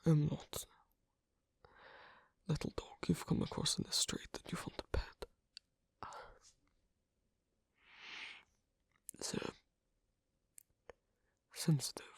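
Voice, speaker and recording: A performer voicing a guarded, shyly affectionate werewolf on a date in an ASMR roleplay, speaking in a husky, breathy whisper with a halting, hesitant delivery. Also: medium pitch, wildly swooping pitch, no room sound, exaggerated voice. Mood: flustered, defensive. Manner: halting, soft-spoken, hesitant. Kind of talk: ASMR roleplay, one-sided intimate conversation.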